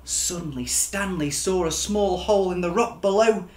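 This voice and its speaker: A man, reading a children's storybook aloud with dramatic, animated expression. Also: sits high, moderate pace, roomy sound. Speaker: children's author reading his own book. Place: furnished living room, indoors.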